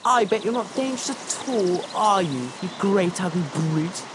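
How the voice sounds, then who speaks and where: A man's voice: high-pitched, animated, moderate pace, noisy background. A male actor playing a sneering, arrogant young schoolboy bully in a video game, haughty and contemptuous. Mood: taunting. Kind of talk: video game character acting. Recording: loud music behind the voice.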